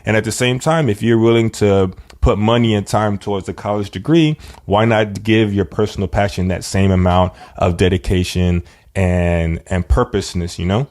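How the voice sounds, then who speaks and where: A man's voice: fairly low pitch, fairly even intonation, moderate pace, a little mellow. A man hosting a casual interview, upbeat and encouraging toward his guest. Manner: enthusiastic, encouraging, says "that's awesome" often. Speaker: interview host.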